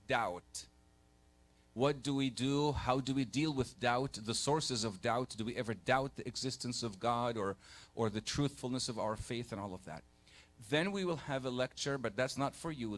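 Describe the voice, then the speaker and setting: A man in his forties, born in Egypt, giving a conversational church lecture on faith and doubt to a live group, often pausing to rephrase. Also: medium pitch. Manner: conversational, pauses to rephrase, takes questions. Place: church hall.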